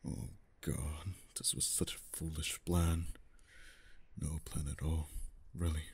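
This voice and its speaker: A man's voice: deep voice, warm tone, slightly rough, exaggerated voice. A man voicing a proud, anxious dragon in human form in an ASMR roleplay, with haughty, theatrical, brooding delivery. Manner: theatrical, brooding muttering with haughty archaic phrasing.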